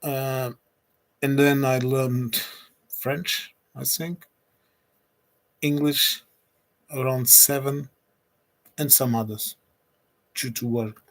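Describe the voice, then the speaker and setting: Portuguese-accented man in his forties; slow, hesitant livestreamer who restarts phrases. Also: mid-range voice, steady tone, rough recording. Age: 40s. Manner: hesitant, pauses often with restarts and fillers. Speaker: streamer. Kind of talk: unscripted solo livestream chat.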